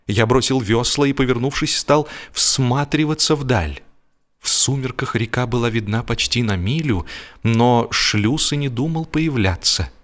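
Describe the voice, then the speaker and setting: A man narrating a humorous literary story as an audiobook reader, with expressive delivery. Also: medium pitch, unhurried. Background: music behind the voice.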